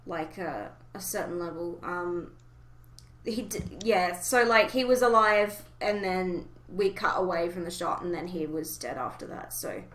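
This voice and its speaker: A woman hosting a casual fan discussion podcast, chatting informally about an animated series. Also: on the low side, a little slow. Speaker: podcast host. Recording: echoey room.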